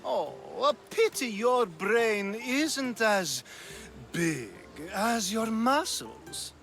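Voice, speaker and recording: A man's voice: sits high, big pitch swings, very slow, a bit bright, soft background music, full character voice. An actor playing a flirtatious, flamboyant man who talks in a teasing, theatrical way in a video game cutscene. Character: flirtatious flamboyant male party host.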